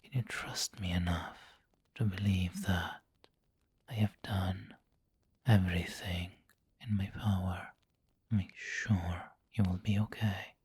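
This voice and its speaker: A man playing a refined, doting vampire lord in an ASMR roleplay, whispering gently and intimately with seductive, protective care. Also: low voice, fairly even intonation, no echo, full character voice. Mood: tender, coaxing. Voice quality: breathy, whispery. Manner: slow, gentle, intimate coaxing.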